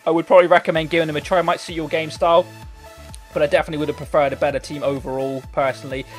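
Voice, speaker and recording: Young guy losing his voice, commentating his own match, mumbly then yelling, music on low behind. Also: racing. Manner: excitable, swings from muttering to shouting. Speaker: gaming commentator. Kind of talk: live game commentary. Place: hot bedroom. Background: background music.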